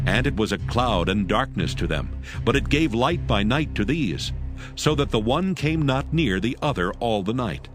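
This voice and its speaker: A man narrating a dramatized Bible in a solemn, measured, scripted style. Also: medium pitch. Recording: loud music under the narration. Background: loud music.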